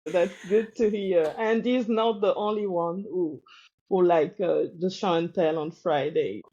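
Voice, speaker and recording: A woman from Congo with a Congolese French accent, a teacher talking thoughtfully in a podcast interview, pausing and restarting often. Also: on the low side, dark tone, breathy edge, dull audio. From Democratic Republic of Congo. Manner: thoughtful, halting with many restarts. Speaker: teacher guest.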